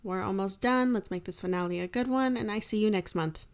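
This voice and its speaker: A woman's voice: mid-range voice, fairly quick. A woman hosting a show, giving a personal announcement to her listeners. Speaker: show creator and host.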